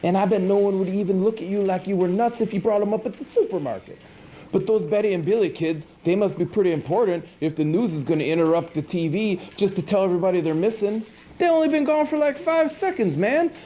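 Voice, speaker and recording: A man plays a goofy, good-natured monster hosting a late-night horror movie show. His voice is fairly high and mellow, and he rambles hesitantly in a casual deadpan. The sound is a dull, slightly hissy 1980s videotape. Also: quick pace. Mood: whiny, exasperated. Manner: rambling, hesitant, laid-back deadpan asides with pitch swoops. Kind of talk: casual comic hosting monologue. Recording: dull noisy 1980s VHS tape.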